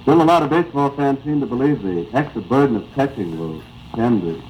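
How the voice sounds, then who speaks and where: American radio interviewer on noisy 1930s broadcast. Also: male voice, medium pitch, lively intonation, brisk, a little mellow. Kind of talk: 1930s radio sports interview, scripted banter. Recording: 1935 AM radio broadcast, noisy, slightly distorted.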